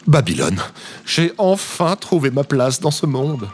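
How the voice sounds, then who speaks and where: Man playing wildly animated, gushing phone operator. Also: on the high side, unhurried, soft background music. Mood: elated, gushing. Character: exuberant, naive ex-president turned happy phone operator. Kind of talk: audio drama comedy acting. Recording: faint background noise.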